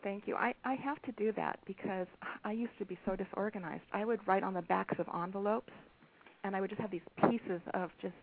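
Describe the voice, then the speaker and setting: A soft-spoken woman, an author, being interviewed over the phone, speaking hesitantly with many pauses and ums. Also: medium pitch. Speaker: author and guest. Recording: tinny phone line with faint hiss.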